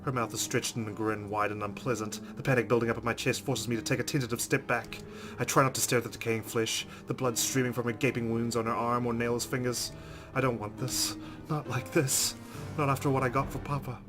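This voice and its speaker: A man's voice: medium pitch, flat delivery, a little fast, over music. A man hosting a let's play, reading story text dramatically and adding casual commentary.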